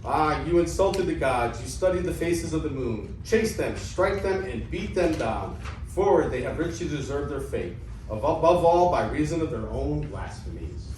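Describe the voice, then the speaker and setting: An indignant, gullible old father from ancient Greek comedy, acted by a man in a staged reading. His voice sits fairly high for a man and he declaims his grievances, now and then tripping over words. It is heard from off the mic in an echoey room. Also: lively intonation, normal speed, darkish tone, close to an everyday voice. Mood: vengeful. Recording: echoey room, distant mic, faint noise.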